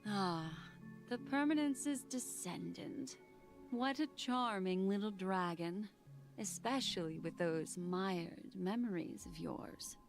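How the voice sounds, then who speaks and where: A woman's voice: mid-range voice, slow pace, gravelly. An actress playing a mysterious, elegant, teasing woman in a video game, poised and coy, with music behind her. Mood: sly, persuasive. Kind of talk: video game story dialogue.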